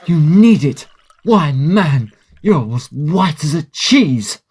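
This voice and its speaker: An actor playing a sceptical, kindly, fussy Victorian gentleman doctor in a radio drama, proper and measured in manner. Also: fairly high pitch, lively intonation, slightly rough, full character voice. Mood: alarmed, concerned.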